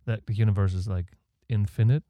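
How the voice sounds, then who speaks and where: German guy rambling on his own podcast, low mellow voice, dry close mic, you can hear him breathe. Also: steady tone, brisk, no echo. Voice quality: audible breathing. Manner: rambling, opinionated, self-deprecating. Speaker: podcast host. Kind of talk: casual solo podcast monologue. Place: home setup in Canada. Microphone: close podcast microphone.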